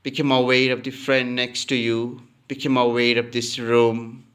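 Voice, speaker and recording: A man leading a guided relaxation as a yoga teacher, calmly giving instructions and repeating phrases. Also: medium pitch, moderate pace, clean tone.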